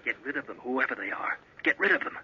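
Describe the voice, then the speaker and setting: A gravelly, sly voice, the nagging thought inside a schemer's head. The phrases are clipped and insistent. It comes from a hissy, dull 1940s radio recording. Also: male voice, medium pitch, huge pitch swings, quick pace. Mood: sly urging. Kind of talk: 1940s radio drama acting.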